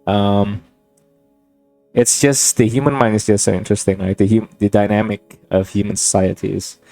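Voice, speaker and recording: Young man from Indonesia, native Indonesian speaker, lowish voice, reflective rambling vlog talk with many restarts, dry close sound. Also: steady tone, creaky, warm tone, no echo, soft background music. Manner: thoughtful, halting, with many restarts and fillers. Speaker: gaming vlogger. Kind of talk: rambling reflective monologue over gameplay.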